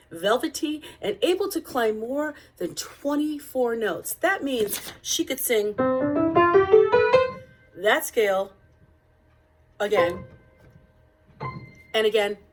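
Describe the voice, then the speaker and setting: A woman reading a picture book aloud as narrator, with deliberate, expressive phrasing. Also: mid-range voice, poor audio. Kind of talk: read-aloud storybook narration.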